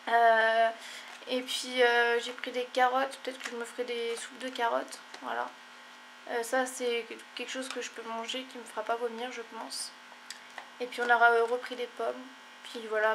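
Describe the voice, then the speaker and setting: A woman's voice: fairly high pitch, creaky, a bit bright, a little hiss or hum, low-quality recording. A young woman vlogging casually at home, rambling with many fillers, sounding congested and tired. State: stuffy, congested. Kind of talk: casual chat to camera.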